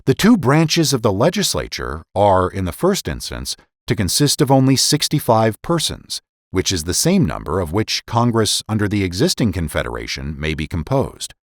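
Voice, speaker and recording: Male narrator, lowish pitch, highly animated intonation; formal, emphatic dramatic reading of period political prose. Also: dry recording, studio quality. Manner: formal, deliberate, emphatic oratorical delivery. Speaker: podcast host and narrator.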